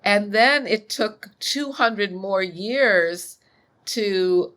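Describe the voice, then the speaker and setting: Slow, measured woman in her sixties. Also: mid-range voice. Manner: measured, thoughtful, occasional soft laughs. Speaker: guest scholar. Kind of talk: interview conversation.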